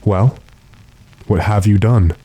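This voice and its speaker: An actor playing a composed, authoritative gentleman investigator in a radio drama, measured and deliberate. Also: on the low side, husky, no echo, rough recording. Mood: calmly authoritative. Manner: measured, deliberate, matter-of-fact. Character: calm, authoritative gentleman investigator addressed as sir. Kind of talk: classic radio drama acting.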